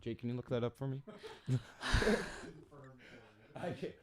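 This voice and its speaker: A man's voice: medium pitch, a little slow, mellow. A man hosting a podcast, chatting casually with a guest, joking and laughing often. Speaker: podcast host. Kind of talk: casual interview chat. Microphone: close studio mic.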